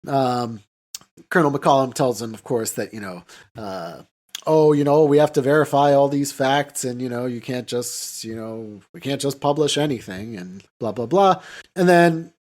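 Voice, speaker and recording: A man hosts a casual film discussion in a mid-pitched voice. He rambles and hesitates, often padding his thoughts with "you know" as he works through his recap. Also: normal speed, darkish tone. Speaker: podcast host.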